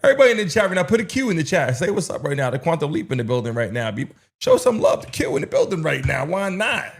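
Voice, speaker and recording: A man's voice: a little high, big pitch swings, fast, creaky. A man hosting a sports watch-along livestream, talking in a hyped, slangy, repetitive way as he urges the chat on. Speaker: livestream host.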